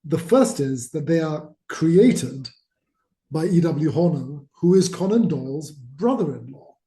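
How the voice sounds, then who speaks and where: A man's voice: fairly high pitch, expressive, measured pace, dark tone. A middle-aged man from Malaysia, an author talking as an interview guest, telling his stories with enthusiasm. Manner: enthusiastic, storytelling. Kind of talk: conversational interview. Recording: roomy echo.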